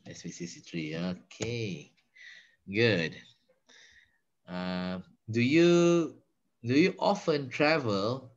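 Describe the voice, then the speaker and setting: A man's voice: mid-range voice, takes it slowly, long pauses. Malaysian guy in his 50s teaching english over a video call, patient coaching, kinda dull muffled audio. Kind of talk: online conversation lesson.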